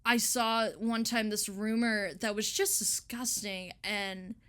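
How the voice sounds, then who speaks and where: A young woman in a casual interview chat, speaking in an animated, slangy way and swearing freely. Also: medium pitch, slow pace. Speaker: interviewee artist.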